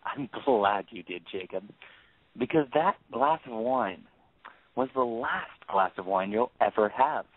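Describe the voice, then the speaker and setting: A man's voice: mid-range voice, expressive, dry recording. Man acting vengeful father on a tinny line. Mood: menacing. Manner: measured, accusing, menacing, dwells on repeated phrases. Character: vengeful grieving father, coldly confronting his oldest friend. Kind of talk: audio drama acting. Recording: phone-like lo-fi line.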